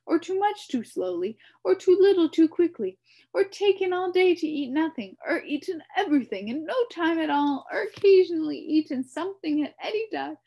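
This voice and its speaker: A woman's voice: very high-pitched, animated, normal speed, vocal fry, lo-fi sound, pitched above the performer's own voice, heavily acted. A woman voicing a pompous, wordy male humbug bug who talks in grand, theatrical phrases.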